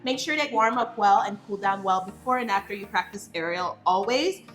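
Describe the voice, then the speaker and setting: A woman's voice: medium pitch, a little fast, a bit bright, roomy sound, soft background music. A young woman co-hosting a pole dance lesson, giving safety tips in an upbeat, playful way. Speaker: pole dance instructor, co-host. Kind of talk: casual tutorial instruction.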